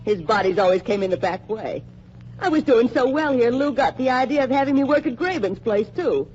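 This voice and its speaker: A woman's voice: a little high, expressive, brisk, darkish tone, slight background noise, lightly acted. A woman playing a scared, defiant young spy. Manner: defiant and breathless. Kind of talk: 1940s radio acting. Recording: muffled 1940s radio recording.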